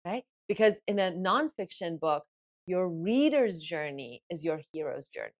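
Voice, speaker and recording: A woman whose first language is Farsi, a guest in an interview, explaining her method in lively bursts and acting out inner thoughts. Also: on the low side, moderate pace. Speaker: guest author and ghostwriting coach. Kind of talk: casual interview talk.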